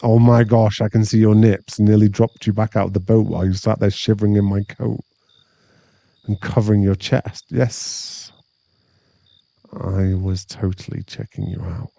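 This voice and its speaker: A man playing an awkward, tender former bully of nearly thirty in an intimate ASMR boyfriend roleplay, speaking with halting sincerity. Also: lowish voice, little pitch movement, gravelly, dry recording. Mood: fond, teasing. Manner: hesitant, halting, soft-spoken sincerity.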